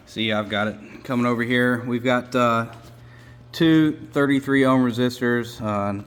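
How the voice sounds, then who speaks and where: A man giving a casual, halting hands-on demonstration as host of a repair channel, rambling as he explains. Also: mid-range voice. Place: indoors at home.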